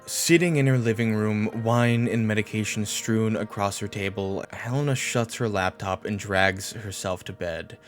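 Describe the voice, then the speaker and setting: A man's voice: fairly low pitch, moderate pace, few pauses, soft background music. A man narrating a scripted episode recap as a video essayist, with wry asides.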